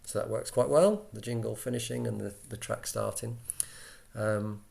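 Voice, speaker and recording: A man giving an informal software walkthrough, explaining step by step in a conversational way as an instructor. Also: fairly low pitch, steady tone, normal speed, creaky, soft background music.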